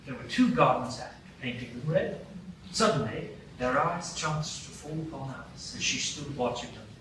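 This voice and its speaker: Male narrator, measured storytelling; distant mic, echoey with audible hiss. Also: mid-range voice, animated, fairly bright tone. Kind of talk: live radio play narration. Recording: echoey stage from far off, noisy.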